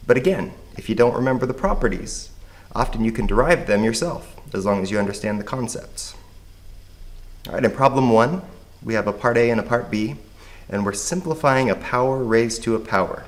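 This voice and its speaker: A man with a hoarse voice, patiently explaining a math lesson step by step. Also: mid-range voice, fairly quick. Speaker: math teacher.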